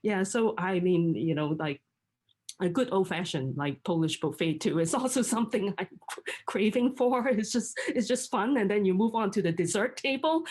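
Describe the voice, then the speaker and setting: A woman's voice: mid-range voice, animated, normal speed, darkish tone, breathy edge. A middle-aged woman from Hong Kong, a guest in casual chat, talking freely with frequent laughs and restarts. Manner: chatty, laughs often, many restarts.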